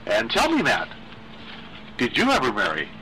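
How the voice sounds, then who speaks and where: A man's voice: medium pitch, wildly swooping pitch, sharp tone, slight background noise, rough recording. A man reading a horror story as an uneasy married mother who forces polite, brittle cheer. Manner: brittle, politely chirpy, halting.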